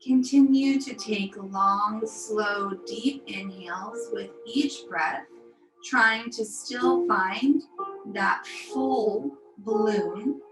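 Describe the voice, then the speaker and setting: A woman teaching yoga, leading a guided meditation in a soothing, unhurried, gently instructive manner. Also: on the high side. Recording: roomy echo, music behind. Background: music.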